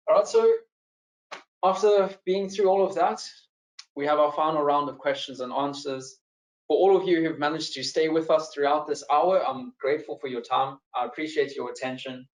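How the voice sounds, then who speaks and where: A man with a South African accent, a financial adviser presenting a live online seminar and taking audience questions in a relaxed, friendly way. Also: medium pitch, reverberant, rough recording. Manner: relaxed and friendly, with frequent fillers and repeated words. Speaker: financial adviser hosting a webinar.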